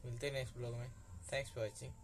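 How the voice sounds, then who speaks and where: A man's voice: medium pitch, flat delivery, brisk. A young man in his twenties casually narrating a village daily vlog, filming himself on a phone held at arm's length in an outdoor courtyard. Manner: easygoing, chatty, points things out to viewers. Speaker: village vlogger. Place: village courtyard outdoors, rain, goats nearby. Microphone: phone selfie at arm's length. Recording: noisy outdoor phone recording.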